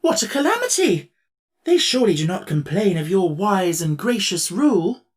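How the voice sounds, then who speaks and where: A man's voice: sits high, big pitch swings, normal speed, voice pushed up. A stately metal emperor with a lifted, ringing voice and polished, ceremonious phrasing, as a male audiobook reader puts him on. He speaks grandly and courteously, full of dignity. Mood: alarmed. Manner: formal and gracious, exclamatory. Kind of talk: audiobook character voice.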